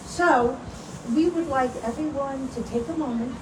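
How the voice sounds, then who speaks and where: A woman hosting an outdoor event, giving informal announcements in a halting way and prompting the audience. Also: sits high. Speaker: event host. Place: outdoors at an animal ranch. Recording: roomy, distant mic, clear background noise.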